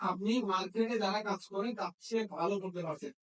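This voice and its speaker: A man's voice: high voice, fast talker. A man teaching a hands-on repair class, often checking understanding with questions. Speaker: phone repair instructor. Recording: echoey room, distant microphone.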